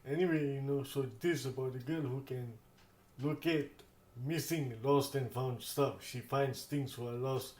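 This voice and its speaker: A man talks through an informal app demonstration in a mid-pitched voice. His phrasing is halting and circles back on itself. The room adds a noticeable echo. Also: fairly even intonation, darkish tone. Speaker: host of accessibility tech demonstration videos. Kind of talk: informal spoken tutorial walkthrough. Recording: roomy echo.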